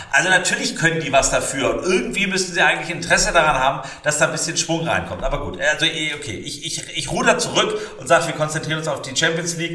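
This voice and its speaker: A man's voice: fairly high pitch, pushed and bright, roomy sound, distant mic. A man from northern Germany co-hosting a podcast, giving opinionated football takes and correcting himself as he goes. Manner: opinionated, self-correcting, joking. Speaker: podcast host. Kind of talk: casual football betting chat.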